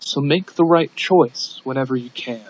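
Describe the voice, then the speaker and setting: A man's voice: medium pitch, a little slow. A man reading a scripted audiobook in an amateur narration style, on a dull lo-fi recording. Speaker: audiobook reader.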